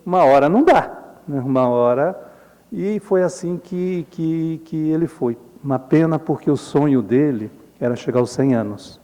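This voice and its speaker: A middle-aged Brazilian man giving a relaxed symposium lecture, explaining slides in a chatty way and often adding tag questions. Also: on the high side, normal speed. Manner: conversational, frequent tag questions, chuckles often. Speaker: Jungian analyst lecturing at a symposium. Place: lecture hall. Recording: reverberant lecture hall.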